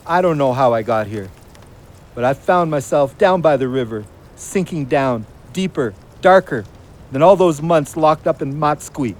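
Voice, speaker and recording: A troubled, bitter ex-convict, widely swinging voice. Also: male voice, a little high, lively intonation, unhurried. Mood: scared, despairing. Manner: bitter, sarcastic, coarse. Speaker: actor in an audio play. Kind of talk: naturalistic audio drama acting.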